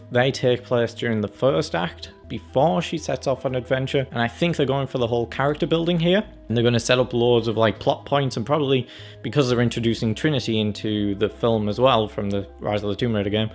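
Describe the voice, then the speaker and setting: Chatty man with creaky voice reviewing trailers. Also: medium pitch. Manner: chatty, acts out reactions and quotes. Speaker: film and game review channel host. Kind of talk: casual trailer review commentary.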